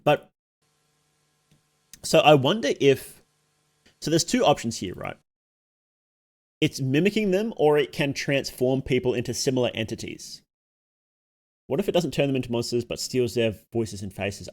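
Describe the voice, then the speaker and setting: A man's voice: mid-range voice, big pitch swings, moderate pace, pauses often, darkish tone. A man giving casual livestream commentary, thinking aloud as he goes, musing and questioning himself. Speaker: streamer reacting to horror videos.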